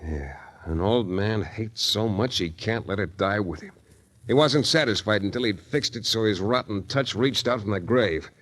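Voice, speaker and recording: An actor playing a wry, terse, flirtatious hardboiled private detective in a 1940s radio drama. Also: male voice, lowish voice, moderate pace, a bit bright. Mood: grave, explaining. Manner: wry, terse tough-guy patter. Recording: 1940s radio recording with music.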